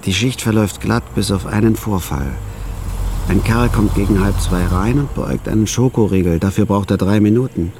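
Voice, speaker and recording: A man narrating a radio drama in the first person as a store clerk, in a flat, laconic, hard-boiled way. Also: lowish voice, moderate pace, slight background noise.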